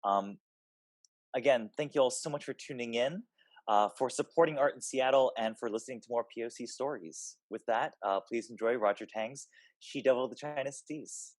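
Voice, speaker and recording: A man's voice: medium pitch, normal speed, dry recording. A man, a theater director, giving an informal, somewhat hesitant spoken introduction to a show.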